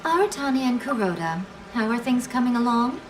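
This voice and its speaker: A woman's voice: on the high side, sing-song, a little fast, background noise. A woman voicing a sly, teasing fox shrine maiden and boss in an anime video game, poised, playful and a little condescending. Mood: commanding, assured.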